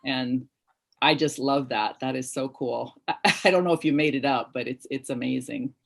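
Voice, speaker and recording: Female event host, low-pitched voice. Informal, appreciative interviewer on a video call. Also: normal speed, gravelly.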